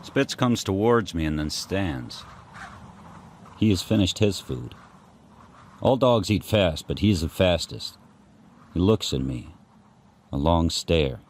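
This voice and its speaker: Man acting a slow, deep-voiced brooding sled dog. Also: a little mellow. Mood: wary, tense. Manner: spare, deliberate short sentences. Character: sled dog narrating his harsh life, grim and watchful. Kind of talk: first-person dramatic narration in a radio play. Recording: faint background noise.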